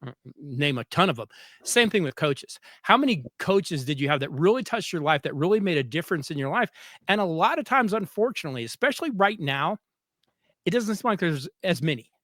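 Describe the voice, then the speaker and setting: A man's voice: on the high side, very animated, a little fast, fairly bright tone, no room sound, clean studio sound. An American man hosting a conversational interview, speaking earnestly and emphatically and often repeating his phrases. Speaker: podcast host, former coach.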